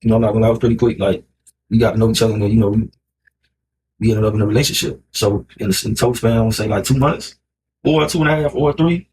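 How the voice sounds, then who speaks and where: A man's voice: lowish voice, fairly even intonation, fast, a little mellow, slight background noise, rough recording. A man in his thirties from Miami with an American accent, chatting casually to camera in a rambling, colloquial way peppered with filler phrases. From Miami, United States. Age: 30s. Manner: rambling, colloquial, frequent "you know" and "like". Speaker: vlogger telling relationship story.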